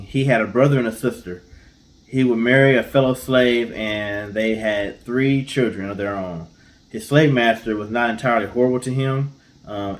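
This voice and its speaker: A man's voice: medium pitch, poor audio. A man co-hosting, presenting and telling stories in an informal, casual style. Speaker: co-host.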